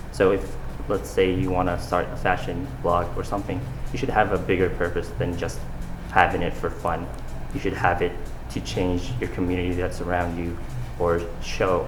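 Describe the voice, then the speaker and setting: Very low, flat-voiced young man. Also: reverberant, background noise. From Saudi Arabia. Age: young adult. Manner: earnest, talks in loose fillers and restarts. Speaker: interview guest, fashion designer. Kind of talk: casual interview answers.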